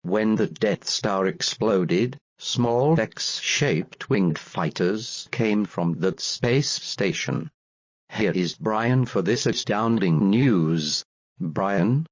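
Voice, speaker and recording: A man's voice: fairly low pitch, animated, extremely slow, no room sound, muffled recording, heavily acted. A man playing a world news anchor in a scripted fictional invasion bulletin. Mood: measured.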